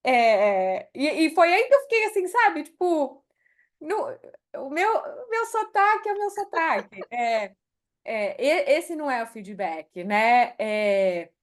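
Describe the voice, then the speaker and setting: A woman's voice: high voice, big pitch swings, takes it slowly. A middle-aged woman from Brazil with a Brazilian accent, speaking candidly as a podcast interview guest and slipping into English phrases. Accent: Brazilian Portuguese. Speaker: guest, HR executive.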